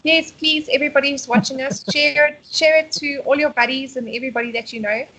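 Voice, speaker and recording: A woman's voice: sits high, fairly even intonation, quick pace, sharp tone, rough recording. A South African woman co-hosting a casual live stream, chatty and laughing often as she reads out viewer comments. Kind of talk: casual chat.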